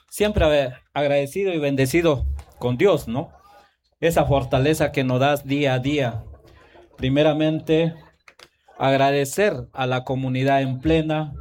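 A man at a community event works through a long list of greetings and titles. He hesitates and restarts, his pace shifting between slow and quick. His voice sits at a middling pitch. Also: darkish tone. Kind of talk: formal greetings at a public event, mixing Spanish and Guaraní.

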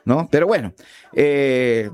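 A man's voice: medium pitch, lively intonation, takes it slowly, darkish tone, dry recording. A man from Bolivia with a Bolivian accent, hosting an interview, friendly and enthusiastic. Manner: friendly, enthusiastic, calls guest brother. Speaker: interviewer host. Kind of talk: interview conversation.

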